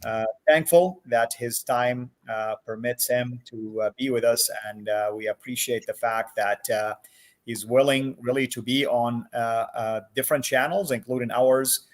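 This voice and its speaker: A man hosting a livestream interview over a video call, friendly, with many filler "uh"s and animated questions. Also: mid-range voice, measured pace. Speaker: host.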